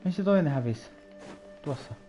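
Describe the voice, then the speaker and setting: Mellow Finnish man streaming a game. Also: on the high side, expressive, quick pace, soft background music. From Finland. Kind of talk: casual muttering while playing.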